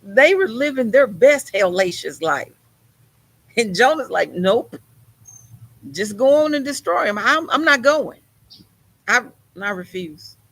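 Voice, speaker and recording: A woman's voice: fairly high pitch, animated, slow, long pauses, no room sound. A woman preaching a sermon in an emphatic, conversational way, with folksy turns of phrase.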